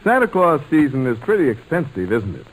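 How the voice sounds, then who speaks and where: A male American announcer in classic 1940s radio style. His fairly high voice is very animated and a little husky. He reads scripted copy with polished persuasion. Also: brisk, rough recording.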